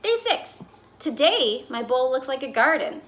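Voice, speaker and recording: A woman reading a children's picture book aloud as a fussy, put-upon goldfish telling its diary, with dramatic, expressive delivery. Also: high voice, unhurried, heavily acted. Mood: dry, bemused. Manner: dramatic, exasperated, expressive storytelling.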